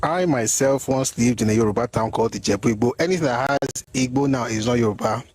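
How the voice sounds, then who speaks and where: A man's voice: mid-range voice, quick pace, a little mellow, slightly rough. A man giving history commentary, explaining word by word with a mocking edge.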